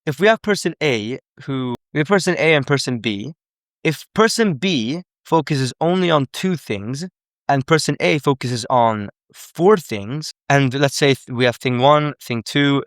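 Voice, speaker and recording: A young man from Sweden talks through his point in casual live commentary, stopping often to correct himself. His voice is smooth and clear at a middling pitch. The sound is dry and close, with no room echo. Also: a little slow. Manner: earnest, explanatory, full of self-corrections. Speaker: language coach.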